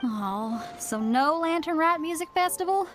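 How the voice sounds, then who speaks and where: A woman playing an upbeat, bold young rock musician in a video game, with a breezy, confident, energetic manner. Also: high voice, measured pace, soft background music. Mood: teasing, curious.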